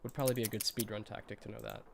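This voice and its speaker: A man's voice: on the low side, flat delivery, racing, noisy background. A congested man with a cold giving chatty, nostalgic commentary while streaming a video game, laughing often.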